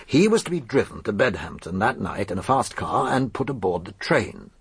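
Gruff, gravelly English police inspector. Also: male voice, fairly low pitch, sing-song, moderate pace, dry recording, full character voice. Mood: matter-of-fact, assured. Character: shrewd, bulky male police chief inspector, blunt and assured. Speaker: actor in a radio mystery drama. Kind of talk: British radio drama acting.

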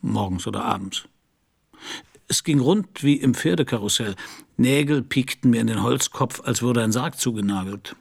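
An actor playing a battered middle-aged private detective, wry, laconic and sardonic, in a noir radio play. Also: male voice, medium pitch, normal speed. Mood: wry, weary. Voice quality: husky, gravelly. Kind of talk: noir radio drama acting and first-person narration.

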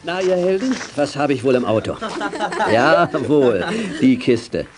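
An actor playing a kindly, jovial grown-up who helps child detectives in a 1980s children's audio drama. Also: male voice, medium pitch, sing-song, takes it slowly, a crowd in the background. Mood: jovial, proud. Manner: jovial, avuncular.

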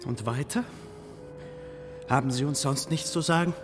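An actor playing a pressing, demanding interrogator hounding a suspect in a radio play. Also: male voice, mid-range voice, moderate pace, close to an everyday voice. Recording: long hall reverb, music behind.

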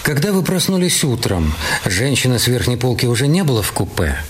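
An actor playing a police inspector in a radio detective play, asking questions in a measured, probing manner. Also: male voice, medium pitch, very animated, husky. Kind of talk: Russian radio play, part dialogue, part narration.

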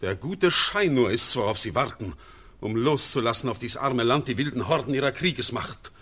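An actor playing a steadfast Swiss farmer in a classic radio drama, speaking verse in a solemn, measured way. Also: male voice, mid-range voice, expressive, fairly bright tone, husky. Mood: alarmed. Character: steadfast Swiss farmer, grave and anxious husband.

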